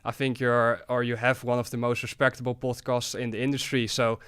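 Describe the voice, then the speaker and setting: Young Dutch-accented male guest, 18, smooth resonant voice, eager talker. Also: medium pitch, flat delivery, brisk, a bit bright. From Netherlands. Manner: chatty, explains eagerly, light laughs. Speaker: podcast guest, trader. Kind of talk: relaxed interview talk.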